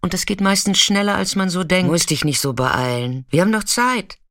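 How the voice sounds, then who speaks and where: A woman's voice: on the low side, normal speed, fairly bright tone, no echo, studio quality. An actress playing a chatty, caring, streetwise female sex worker in an intimate, colloquial radio drama monologue. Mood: playful, musing.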